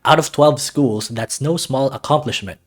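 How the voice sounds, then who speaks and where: A man acts out a blustery male soccer coach from a visual novel, small in stature and big in heart. He is gruff and emphatic, barking his words loudly, lifted above an everyday talking pitch. Faint music runs underneath. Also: moderate pace, natural voice. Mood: rousing, proud. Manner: loud, emphatic, barking pep talk.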